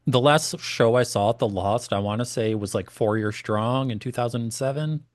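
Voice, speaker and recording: A man's voice: medium pitch, normal speed, no echo. A man from chatting casually, telling stories and swearing now and then. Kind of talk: casual chat.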